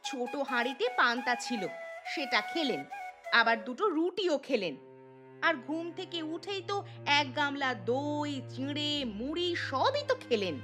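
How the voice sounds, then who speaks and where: Woman playing an exasperated, high-pitched daughter-in-law. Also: animated, normal speed, sharp tone, soft background music. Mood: scolding. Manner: scolding, indignant. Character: exasperated, sharp-tongued adult daughter-in-law. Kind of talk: cartoon story dialogue.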